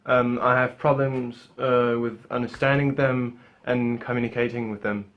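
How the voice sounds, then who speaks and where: A man's voice: medium pitch, level tone, moderate pace, lo-fi sound, lightly acted. A young man playing a frustrated male drug enforcement agent, talking hesitantly with many fillers. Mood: weary.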